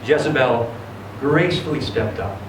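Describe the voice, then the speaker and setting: A man reads a short story aloud with theatrical expression. His mid-pitched voice comes from some distance in a heavily reverberant room, with clear background noise. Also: expressive, normal speed. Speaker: reader of a short story at a live event. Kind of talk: dramatic first-person story reading. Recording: very echoey room, distant mic, noisy.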